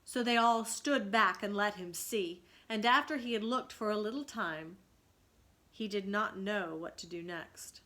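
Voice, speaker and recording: A woman's voice: medium pitch, unhurried, bright, forceful tone. A woman reading a children's story aloud dramatically, in a teacherly way that invites listeners to think.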